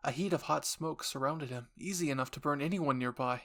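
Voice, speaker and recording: A man's voice: on the high side, fairly quick, a bit bright. A man narrating an audio roleplay story in the second person with an earnest, theatrical delivery.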